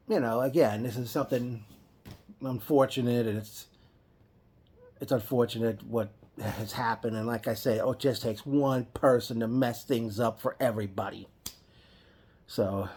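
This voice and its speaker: A man talks through his reaction at a middling pitch, in an unscripted vlog style. He often stops to correct and restart his sentences. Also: a little mellow, husky. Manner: rambling, with frequent restarts and self-corrections. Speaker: wrestling fan vlogger. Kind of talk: casual spoken reaction vlog.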